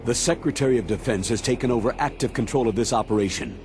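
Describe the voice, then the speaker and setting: An actor playing a sober older military commander with an American accent, giving a grave, matter-of-fact briefing in a video game cutscene. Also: male voice, mid-range voice, fast, a little mellow, a little hiss or hum, close to an everyday voice. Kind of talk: dramatic video game acting. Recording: background music under the dialogue.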